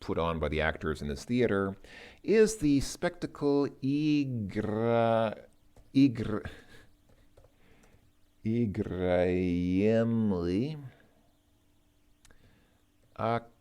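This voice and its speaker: A man giving an informal language lesson, reading and translating aloud in a halting way as he thinks through each word. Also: on the low side, expressive, mellow, clear, resonant tone. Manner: halting, thinking aloud, sounding out words slowly. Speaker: host working through a Russian lesson as a learner.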